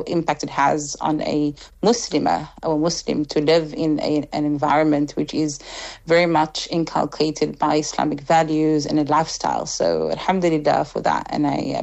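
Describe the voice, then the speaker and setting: A woman's voice: deep voice, gravelly. A woman from Egypt whose first language is Arabic, a radio guest who talks about youth work, laughs easily and falls back on Arabic religious phrases. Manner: warm laughter, frequent Arabic religious phrases, hesitant restarts. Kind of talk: radio interview.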